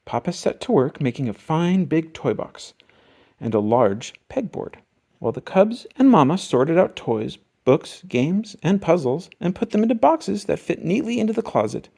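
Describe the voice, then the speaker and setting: Very animated children's storybook reader. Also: medium pitch, vocal fry, slightly rough. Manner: expressive, emphatic reading with drawn-out key words. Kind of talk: scripted children's storybook narration.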